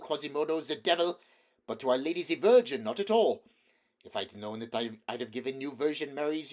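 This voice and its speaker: A man's voice: mid-range voice, fairly bright tone, lightly acted. A man reading a story aloud, voicing a vain poet who grumbles in exasperation.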